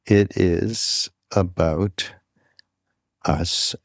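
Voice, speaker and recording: A man hosting a podcast in a casual, conversational way, with halting, meandering phrasing. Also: low voice, fairly even intonation, vocal fry, no room sound, clean studio sound. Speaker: podcast host.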